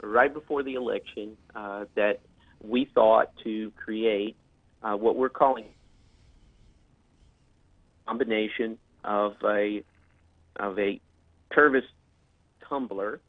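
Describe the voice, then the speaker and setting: Guest on a call-in line, stop-start talker full of uhs, tinny dry phone sound. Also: male voice, fairly low pitch, slow, pauses often, dry recording. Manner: hesitant, many fillers and restarts. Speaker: guest on a political talk show. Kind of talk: casual promotional talk.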